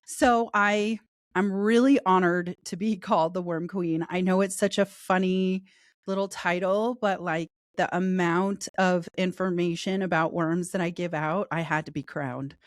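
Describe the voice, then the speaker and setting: A woman guest with a raspy voice from a cold, telling her story in a candid, talkative way during a casual interview. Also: lowish voice, fairly even intonation, measured pace, no room sound, studio quality.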